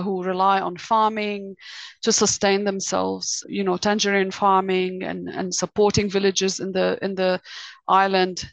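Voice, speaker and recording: A woman's voice: medium pitch, creaky, dry recording, low-quality recording. A woman from Lebanon in her thirties, a researcher answering interview questions thoughtfully, often restarting her sentences. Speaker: guest researcher. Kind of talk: podcast interview.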